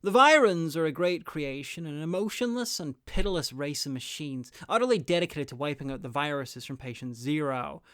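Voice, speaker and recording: Casting: male review host for scripted narration, higher-pitched, clean and clear. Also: expressive, moderate pace, hardly any pauses, fairly bright tone, no echo, studio quality.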